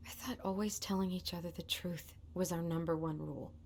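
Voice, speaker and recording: A woman's voice: fairly low pitch, steady tone, a little fast, darkish tone, close to an everyday voice. A woman playing a hurt, earnest young adult in a naturalistic video game drama. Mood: hurt, disappointed. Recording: noisy game capture.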